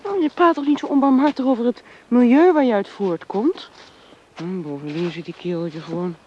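A woman's voice: fairly high pitch, moderate pace, a little hiss or hum, lightly acted. An actress playing a proud, principled and prickly young woman in a radio drama, curt and indignant in manner. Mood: scornful. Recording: dull, band-limited radio drama sound.

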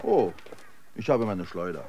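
A sardonic, animated street-survivor guide. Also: male voice, sits low, unhurried, lo-fi sound, background noise, exaggerated voice. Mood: sardonic, unruffled. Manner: laconic, sardonic, knowing. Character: cynical, seasoned male guide, slingshot-proud street survivor. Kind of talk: German radio play acting.

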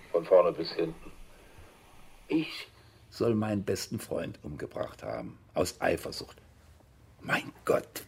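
Guarded older interviewee, deep husky voice, self-pitying, dismissive, evasive, radio crime drama. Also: male voice, a little mellow, full character voice. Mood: indignant. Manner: evasive, dismissive, matter-of-fact. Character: defensive, self-pitying older man, former television assistant director.

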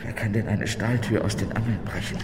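A man's voice: lowish voice, brisk, very noisy, close to an everyday voice. A man playing an uneasy, grumbling detective in a German radio drama, muttering with disgust. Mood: tense, puzzled. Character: uneasy, grumbling male detective exploring a slimy cellar. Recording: echoey reverberant space, music behind.

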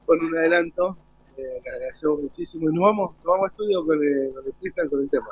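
A man hosting a live interview in a casual, halting way, repeating words as he goes. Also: on the high side, slightly rough. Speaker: broadcast host. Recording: muffled lo-fi live broadcast audio.

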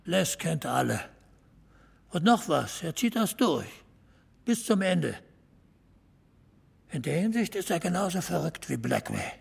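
An actor playing an insistent, admiring club regular in an audio drama, with a very husky, gravelly voice. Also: male voice, a little high, very animated, measured pace, pauses often, heavily acted.

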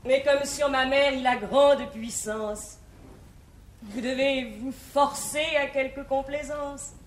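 High-voiced sensible young stage heroine. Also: female voice, steady tone, slow. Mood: reasoning, gently teasing. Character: sensible, poised young woman in love, gently advising. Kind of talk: 1950s French classical stage comedy acting in verse. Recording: echoey, hissy 1950s theatre recording.

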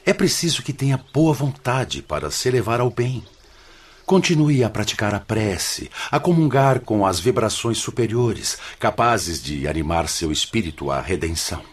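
A man's voice: fairly low pitch, big pitch swings, slightly rough. A man with a Brazilian accent playing a patient, paternal spiritual counsellor and hospital director in a radio drama, speaking solemnly and formally. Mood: earnest, admonishing. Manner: solemn, measured, admonishing.

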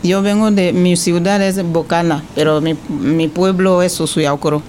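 A woman's voice: on the low side, steady tone, a little slow, a little mellow, slight background noise. A woman from the Ivory Coast, a guest giving brief, hesitant answers in an interview.

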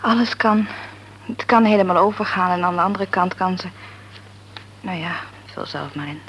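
A woman playing an upset young mother in a radio play, speaking hushed and breathy. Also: on the low side, steady tone, moderate pace, a little hiss or hum, natural voice, poor audio.

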